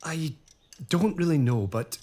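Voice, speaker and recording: An actor playing a gentle, indulgent gentleman husband in a period audio drama. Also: a little high, expressive, measured pace. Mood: storytelling. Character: easygoing gentleman husband of the lady.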